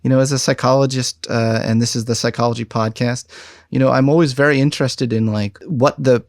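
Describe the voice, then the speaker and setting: A man's voice: medium pitch, fairly even intonation, a little fast, dry recording, studio quality. A man hosting a conversational podcast interview, informal and rambling as he rephrases his questions. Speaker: podcast host; psychologist.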